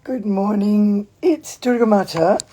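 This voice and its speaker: A woman leading a casual mindfulness livestream from home, talking in a chatty, meandering, gently informal way, with a hoarse voice, recorded on a phone. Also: mid-range voice, slow, slight background noise. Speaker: mindfulness teacher. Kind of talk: casual livestream with guided breathing. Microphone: phone, handheld at times.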